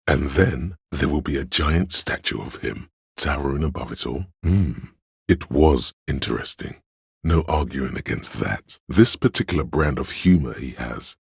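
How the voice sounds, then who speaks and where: An actor playing an arty, grandiose inner voice of imagination in a video game, narrating theatrically and savoring each image in a gravelly, husky tone. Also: male voice, extremely low pitch, no echo, studio quality, exaggerated voice. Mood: musing, impressed. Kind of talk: dramatic second-person game narration.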